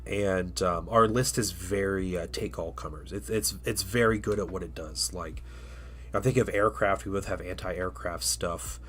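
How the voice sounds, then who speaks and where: A man's voice: low voice, moderate pace, fairly bright tone. Stuffy-sounding man chatting on stream. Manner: rambling, many fillers and restarts. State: stuffy, getting over a cold. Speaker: streamer painting miniatures. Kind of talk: casual live-stream chat.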